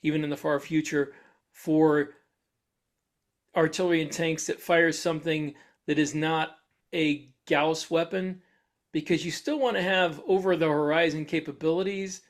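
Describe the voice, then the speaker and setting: Male co-host, mildly higher-pitched, relaxed discussion style, conversational and curious. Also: moderate pace. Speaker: podcast co-host. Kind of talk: casual discussion.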